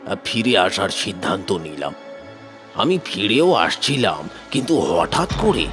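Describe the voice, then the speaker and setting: A man playing a sorrowful, haunted man who narrates his cartoon horror story with drawn-out suspense. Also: deep voice. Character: sorrowful, frightened man recounting his ghostly lost love.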